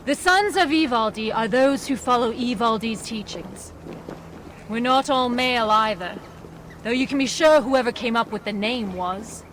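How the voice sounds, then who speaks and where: A woman's voice: on the high side, moderate pace, fairly bright tone, slight background noise, lightly acted. A woman playing a female dwarf rebel guide in a fantasy game, matter-of-fact with dry wit. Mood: practical, dry.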